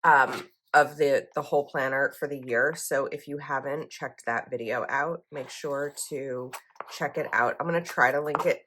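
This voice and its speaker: A woman's voice: very deep voice, fairly even intonation, normal speed, fairly bright tone, slight background noise. A young woman vlogger chatting casually as she decorates a planner, her voice a little froggy this morning, rambling with likes and ums.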